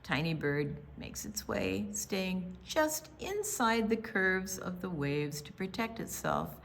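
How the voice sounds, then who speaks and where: An American woman reading a picture book aloud for young children, with dramatic pauses, hushed asides and emphatic delivery. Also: on the low side, huge pitch swings, a little hiss or hum. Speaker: children's storytime reader at a library.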